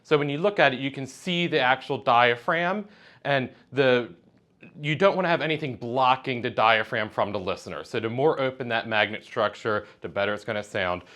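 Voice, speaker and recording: A man's voice: medium pitch, normal speed, fairly bright tone. A man giving a precise, matter-of-fact technical explanation as a product representative in conversation. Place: hi-fi trade show exhibit.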